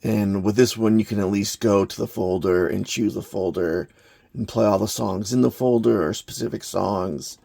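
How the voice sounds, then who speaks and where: A man giving an unscripted hands-on gadget review in a halting, plain-spoken, matter-of-fact way. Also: on the low side, vocal fry, mellow, slightly rough, no room sound. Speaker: product reviewer, hobbyist maker.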